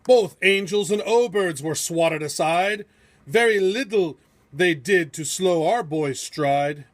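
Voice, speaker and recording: A man reading a rhyming storybook aloud in a theatrical, measured, epic storytelling manner. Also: sits high. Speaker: storybook reader. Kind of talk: dramatic rhyming storybook narration.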